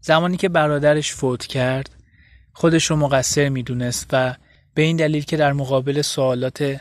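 A man's voice: medium pitch, dry recording, clean studio sound. A man reading scripted storytelling narration as the narrator of a podcast.

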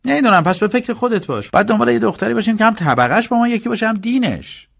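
Male narrator as wealthy family's scheming brother, audiobook: raised pitch, brisk, insistent, businesslike. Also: animated, vocal fry, exaggerated voice. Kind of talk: dramatized audiobook dialogue.

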